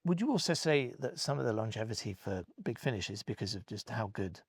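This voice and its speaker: Halting man with gravelly, husky voice. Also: on the low side, sing-song, no echo. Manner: halting, repeats words while searching. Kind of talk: interview chat.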